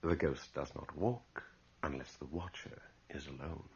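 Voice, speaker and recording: An actor in an old-time radio drama playing a confident, skeptical gentleman with a wry, breezy manner. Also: rumbling low, a little slow, creaky, slightly rough, heavily acted. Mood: wry, dismissive. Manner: wry, self-assured, breezy. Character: confident skeptical gentleman wagering on a night in a haunted room. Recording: muffled lo-fi old radio broadcast.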